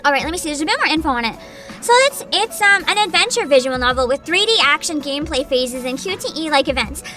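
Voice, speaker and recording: A woman hosting a livestream, chatting casually with viewers in an excitable, bubbly, giggly manner. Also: high-pitched, fast, faint music behind. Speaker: livestreamer host.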